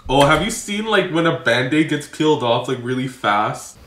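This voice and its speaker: A man's voice: medium pitch, lively intonation, moderate pace, a bit bright, slight background noise. A young man giving casual, joking reaction commentary as a video host in a small home room. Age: young adult. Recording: echoey room sound.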